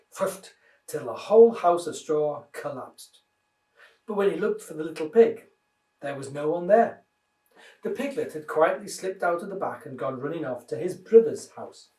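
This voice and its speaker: A man reading a children's story aloud in an expressive, dramatised way. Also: fairly high pitch, a little slow. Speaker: minister reading children's stories. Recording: roomy echo, distant microphone.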